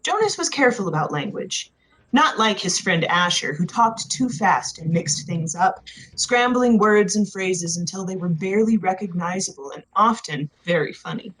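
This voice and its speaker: A woman reading a novel aloud as expressive scripted narration. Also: lowish voice, moderate pace, a little hiss or hum, poor audio. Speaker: audiobook narrator.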